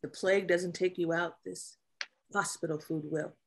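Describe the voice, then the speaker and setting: A woman acting a guarded, masculine-presenting Navy officer in a table read of a drama, speaking in a dry, measured way. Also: on the low side, fairly even intonation, slightly rough. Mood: dry, gentle.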